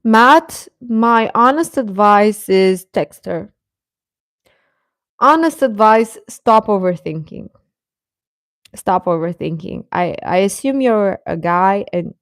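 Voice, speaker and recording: A woman hosting a casual livestream chat, answering viewers informally and haltingly, often repeating short phrases. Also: medium pitch, expressive, dry recording, studio quality. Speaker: livestream host chatting with viewers.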